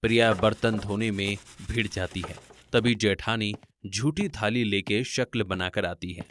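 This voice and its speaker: A man's voice: on the low side, unhurried, fairly bright tone, dry recording. A man narrating a scripted family story in a theatrical, rhetorical style.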